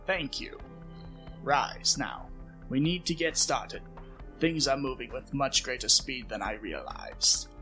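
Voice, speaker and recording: A man playing a frail, aged king and father in an audio drama, speaking softly, formally and with measured gravity. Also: medium pitch, animated. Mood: grave, urgent. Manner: soft-spoken, measured, formal. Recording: music bed behind the voice.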